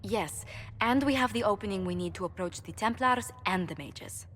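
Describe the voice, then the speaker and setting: A woman playing a calm, shrewd spymaster in a video game, speaking with composed deliberation. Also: medium pitch, normal speed, big-room sound, lightly acted. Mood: composed, informative.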